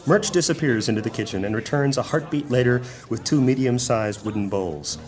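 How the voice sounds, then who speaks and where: A man playing the measured narrator of a fantasy audio drama, telling the story from a script. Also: mid-range voice, darkish tone, close to an everyday voice.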